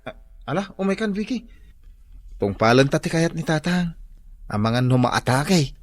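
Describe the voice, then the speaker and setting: A man's voice: fairly high pitch, lively intonation, moderate pace, lightly acted. A man playing a lovestruck young son in a radio drama who pleads and sweet-talks. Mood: pleading.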